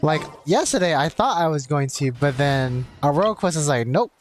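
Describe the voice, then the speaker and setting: A man's voice: fairly high pitch, animated, moderate pace. A young man livestreaming a game, chatting casually in a rambling, conversational way. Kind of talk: casual chat while gaming. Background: game music.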